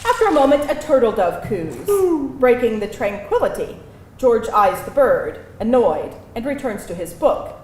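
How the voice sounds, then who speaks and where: High, animated woman narrating a screenplay. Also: unhurried. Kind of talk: scripted narration of stage directions at a live table read. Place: live event venue with audience. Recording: roomy live venue, faint background noise.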